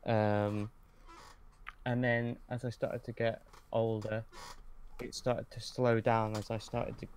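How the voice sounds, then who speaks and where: A young man talking casually as a podcast guest, looking back in a hesitant, low-key way with pauses mid-sentence. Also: level tone, darkish tone, a little hiss or hum. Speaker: podcast guest, script writer. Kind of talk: casual reminiscing in interview.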